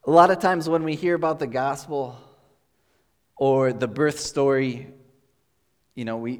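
A man with a mid-range voice preaches a relaxed, conversational sermon, thinking aloud as he explains. His words ring out with a long tail of reverb, as from a large church sanctuary. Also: medium pitch. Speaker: pastor.

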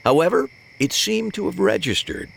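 A man's voice: high voice, sing-song, normal speed, creaky, poor audio. A man reading scripted first-person mystery narration with wry, dry humor.

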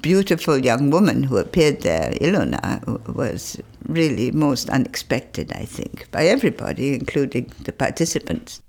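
An older woman, a retired professor, recalling her family in an interview, thoughtful and hesitant with pauses and fillers. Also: very deep voice, big pitch swings, a little mellow, breathy edge. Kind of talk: reflective interview recollection.

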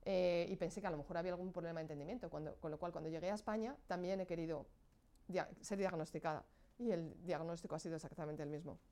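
A woman speaking Spanish as a second language, telling her own story with frequent pauses and restarts. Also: lowish voice, steady tone, warm tone. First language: not Spanish. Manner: hesitant, searching for words with frequent restarts. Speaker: guest sharing her experience. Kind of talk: candid personal account.

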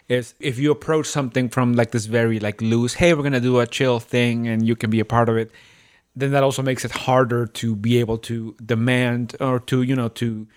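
A man co-hosting a casual podcast, explaining things informally and somewhat haltingly. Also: medium pitch. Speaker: theater company member and podcast co-host.

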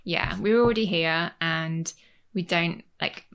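A woman's voice: lowish voice, a little slow, vocal fry, a bit bright. A woman, chatting casually as a guest and rambling with fillers and restarts. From Leeds, Yorkshire, England. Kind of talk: casual conversation. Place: home in Cornwall.

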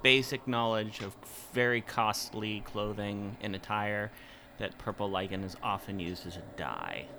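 A man's voice: on the low side, lively intonation, measured pace, sharp tone, slight background noise. A man chatting casually as a player in a role-playing game. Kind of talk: casual tabletop game chat.

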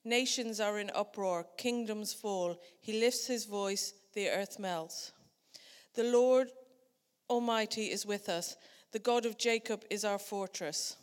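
A woman reads scripture aloud at a steady, middling pitch. Her voice carries a long, echoing reverb from the church around her. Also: slow. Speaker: scripture reader. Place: church hall.

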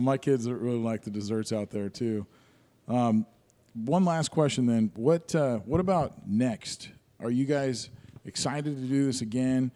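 A man's voice: medium pitch, mellow. A man hosting a casual interview, friendly and chatty, laughing easily and using plenty of fillers. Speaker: podcast host.

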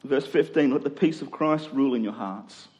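A man's voice: medium pitch, moderate pace, a little mellow. A man preaching a sermon, reading scripture and urging listeners in a conversational way with repeated phrases. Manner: conversational, exhortative, rhetorical repetition.

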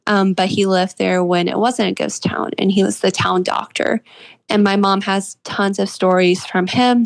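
A woman's voice: medium pitch, vocal fry, no room sound. A woman of about twenty from West Virginia with an American accent, speaking earnestly and agreeably as a guest author in a conversational interview. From West Virginia, United States.

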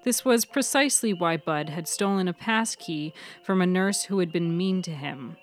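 Low-voiced woman narrating fiction. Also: moderate pace, faint music behind. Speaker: author and narrator of a fiction podcast. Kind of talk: scripted audiobook-style story narration.

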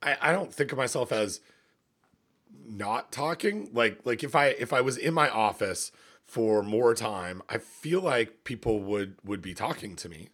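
A man with a gravelly, slightly hoarse voice, rambling and cutting himself off in a casual podcast chat. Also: on the low side, animated, measured pace, fairly bright tone. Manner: rambling, self-interrupting. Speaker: podcast co-host, university academic.

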